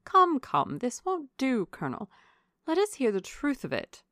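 A nosy older matron, played by a woman narrator, pries and teases with jolly insistence. Her voice lifts and swoops loudly on exclamations, in a dry audiobook reading. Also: sits high, wildly swooping pitch, measured pace, no room sound, pitched above the performer's own voice, full character voice. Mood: prying. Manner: exclamatory, prying, swooping emphasis.

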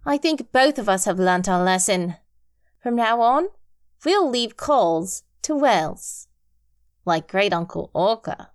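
Brief: animated young fish girl played by a woman, high and dry-toned. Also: slow pace, no room sound. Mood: subdued. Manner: earnest, exclaiming. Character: young female fish, eager older sister.

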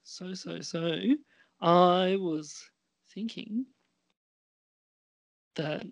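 A man chatting casually and hesitantly as an amateur podcast performer, often pausing as he thinks aloud. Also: high-pitched, creaky, dry recording. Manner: hesitant, halting. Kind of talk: casual chat.

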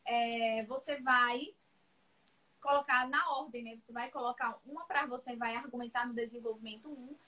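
A woman's voice: on the high side, fairly even intonation, moderate pace, sharp tone. A woman teaching essay writing on a live stream, chatty and reassuring, calling viewers by name. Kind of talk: live-streamed class, casual chat.

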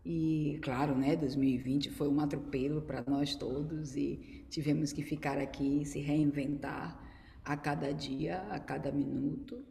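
A middle-aged woman with a Bahian Brazilian accent talks casually in a deep register. Her delivery is slow and unhurried. The recording has long reverb and faint noise around her. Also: steady tone, gravelly, dark tone. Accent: Bahian Brazilian Portuguese. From Salvador, Bahia, Brazil. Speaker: guest, dance teacher and choreographer. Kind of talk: casual spoken introduction in an online conversation.

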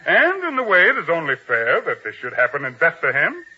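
An actor playing an ambitious, self-important middle-aged captain of ancient times, theatrical and musing, in an old-time radio drama. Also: male voice, a little high, huge pitch swings, a little fast, breathy edge, highs cut off, full character voice. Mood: reflective, proud.

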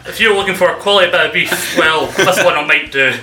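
A man's voice: a little high, quick pace, pushed and bright, a little hiss or hum. A man hosting a comedy wrestling podcast, teasing his co-hosts and laughing often. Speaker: podcast host. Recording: echoey room.

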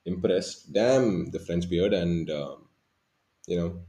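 Man in his twenties, very low voice, rambling, casual podcast co-host. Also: slow pace, warm tone. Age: 20s. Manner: rambling, many fillers and restarts. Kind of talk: casual chat.

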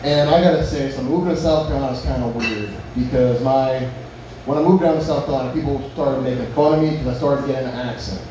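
A young man doing crude, rambling stand-up, restarting jokes and mocking himself as he chats with the crowd. His voice sits at an ordinary pitch. It comes from a distance in an echoey bar, with steady noise all around. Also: fast. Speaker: stand-up comedian. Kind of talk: open-mic stand-up set with crowd work. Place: bar open mic. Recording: echoey bar from far off, noisy.

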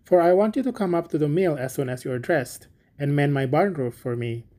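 A man's voice: fairly high pitch, expressive, brisk, mellow, lightly acted. A man voicing a smug, sanctimonious miller in a storybook, pompous and preachy in his delivery. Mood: bossy, hearty. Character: smug, sanctimonious, self-serving male miller. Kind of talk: storybook character voice.

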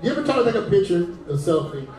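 A man's voice: high-pitched, very animated, rapid-fire, darkish tone. A man on a comedy podcast, telling a story animatedly and laughing between lines. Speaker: podcast co-host. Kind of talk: comedic banter. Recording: echoey room, mic far off.

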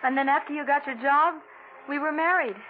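Anxious, tender wife, played by an actress, 1940s radio thriller. High female voice, concerned and pleading, clearly noisy vintage recording. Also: steady tone, brisk, phone-quality sound, natural voice. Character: anxious, tender wife of an amnesiac, former nurse.

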